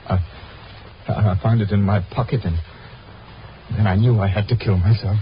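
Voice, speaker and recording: A man playing a distraught, fearful amnesiac with halting, stammering speech in a 1950s radio drama. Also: fairly low pitch, steady tone, close to an everyday voice. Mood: hopeless. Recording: muffled, hissy vintage radio recording.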